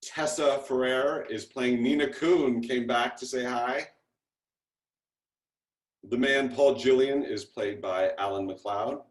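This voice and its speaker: Halting man in an echoey room. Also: medium pitch. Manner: halting, self-deprecating. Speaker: TV series creator introducing his cast. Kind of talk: casual spoken interview. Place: indoor room on a video call. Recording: echoey room over video call.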